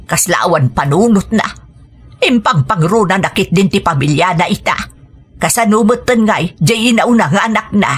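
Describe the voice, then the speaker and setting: A woman's voice: medium pitch, expressive, normal speed, husky. An actress with an Ilocano Filipino accent playing a compassionate, troubled elderly grandmother who laments in a radio drama. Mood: sorrowful. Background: music.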